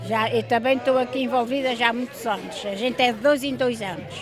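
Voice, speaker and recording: A woman's voice: a little high, fairly even intonation, fairly quick. Older woman, from Portugal, festival volunteer, chatty, mixes in English, outdoor crowd noise. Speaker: festival volunteer selling sweets. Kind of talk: casual interview.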